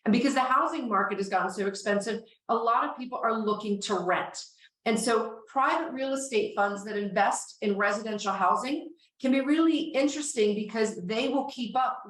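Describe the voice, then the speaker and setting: A woman's voice: fairly high pitch, a bit bright. A woman co-hosting a finance podcast, explaining eagerly in casual talk while losing her voice. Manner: enthusiastic, explanatory. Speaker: podcast co-host. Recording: echoey, distant from the mic.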